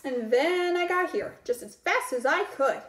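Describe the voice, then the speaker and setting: A flustered schoolboy stammers out his excuses in a high voice that takes its time, as a woman reading aloud puts him on. Everything rings in an echoey room. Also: animated, unhurried. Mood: earnest. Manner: stammering, earnest.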